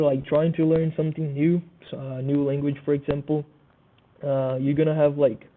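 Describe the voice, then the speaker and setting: A young man from Brazil speaking English with a Brazilian Portuguese accent, giving a class presentation with many fillers and restarts. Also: on the high side. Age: young adult. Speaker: student presenter. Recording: tinny phone-like audio.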